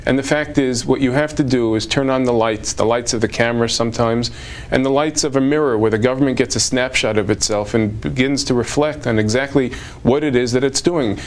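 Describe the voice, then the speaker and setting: A man speaking as an immigration lawyer in a TV interview, measured and formal. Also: mid-range voice, a little hiss or hum.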